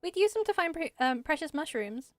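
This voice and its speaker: A woman's voice: sits high, sing-song, fairly quick, no echo, faint music behind. A woman voicing an earnest, dutiful young female forest ranger in a fantasy game, explaining things carefully.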